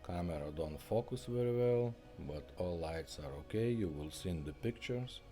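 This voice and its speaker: Low-voiced man demonstrating gear. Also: lively intonation, normal speed, mellow, soft background music. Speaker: car radio restorer demonstrating a product. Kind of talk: casual product demonstration.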